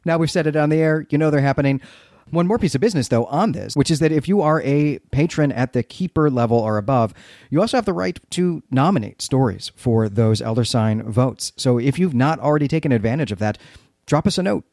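A man's voice: mid-range voice, lively intonation, fairly quick, a little mellow, no room sound. Chatty man co-hosting, very dry sound. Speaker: podcast co-host. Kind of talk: conversational literary podcast hosting.

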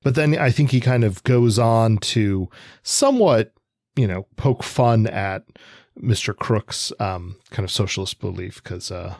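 A man co-hosting a book podcast, working through his analysis in a measured, hesitant, conversational manner. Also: on the low side, vocal fry, a little mellow, no room sound, studio quality. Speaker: podcast co-host.

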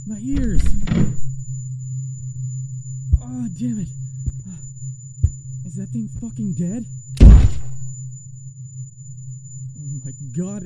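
A man's voice: high voice, heavy background noise. A man playing an anguished, foul-mouthed survivor of a zombie apocalypse in a horror audio drama. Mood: panicked, frightened. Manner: grim, profane, anguished.

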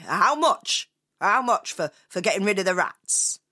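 A woman's voice: medium pitch, very animated, unhurried, sharp tone, full character voice. A woman voicing a flustered, greedy male mayor in a storybook tale, blustering and pleading. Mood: anxious. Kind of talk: storybook character voice.

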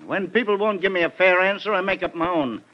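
A man's voice: sits high, quick pace, natural voice. An actor playing a pushy, persistent male newspaper reporter in an old radio drama. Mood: pushy, insistent. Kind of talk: 1940s radio drama acting. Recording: dull vintage radio recording.

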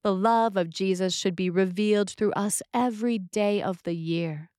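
A woman reading a scripted sermon in a measured, deliberate way with pauses between phrases. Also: mid-range voice, a little mellow, clear, resonant tone, no room sound, clean studio sound. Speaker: sermon reader.

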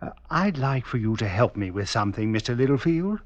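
A man playing a distracted, curt professor with a secret in an old radio drama, halting and stiffly polite. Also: mid-range voice, sing-song, no echo, close to an everyday voice. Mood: stiffly friendly. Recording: dull, dry vintage radio recording.

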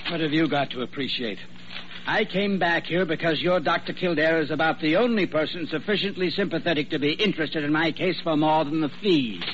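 An actor playing an irritable, suspicious hospital patient who snaps belligerently in old-time radio drama style. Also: male voice, a little high, a little fast, fairly bright tone, lightly acted. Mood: irritated. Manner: snappish, belligerent. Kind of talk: 1940s radio drama acting. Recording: muffled, hissy old radio recording.

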